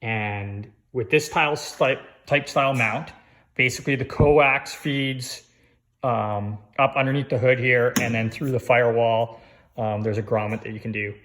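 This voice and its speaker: A man with an average-pitched voice talks through a hands-on installation for a vlog. His delivery is casual and informal, like showing someone around a project, with conversational asides along the way. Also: steady tone, a little slow, fairly bright tone. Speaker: vlogger giving a DIY install walkthrough.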